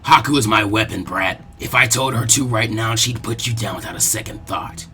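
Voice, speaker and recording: A man voicing a menacing, mocking male ninja swordsman who talks slowly and condescendingly in a fan fiction audiobook. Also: fairly low pitch, bright, forceful tone, soft background music, pitched below the performer's own voice.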